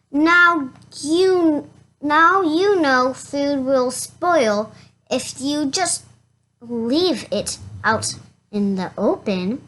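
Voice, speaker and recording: Someone reading a children's storybook aloud in a halting way, stumbling over words and going back over them. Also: sits high, a bit bright, a little hiss or hum. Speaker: reader of a children's picture book.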